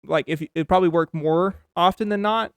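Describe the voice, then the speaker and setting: A man's voice: sits high, a little fast, smooth, no echo, studio quality. A man chatting on a podcast, rambling with many restarts and fillers. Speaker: podcast host and amateur comedian. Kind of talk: casual conversation.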